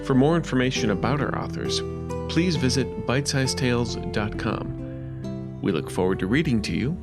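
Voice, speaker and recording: A man's voice: medium pitch, normal speed. A man reading a formal scripted outro with credits and license details. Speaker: podcast announcer. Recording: music bed underneath.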